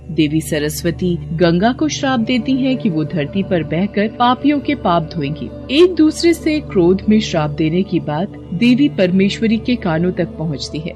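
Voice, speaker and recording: Woman narrating a devotional tale over music. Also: on the low side. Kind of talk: scripted devotional story narration.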